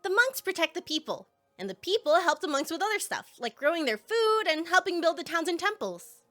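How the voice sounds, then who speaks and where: Woman voicing a perky, high-pitched young village girl. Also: very animated, a little fast, sharp tone, soft background music, heavily acted. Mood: chatty explaining. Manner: bubbly, slangy, playful. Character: perky, eager young female villager, feisty and informal. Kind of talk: animated fan dub acting.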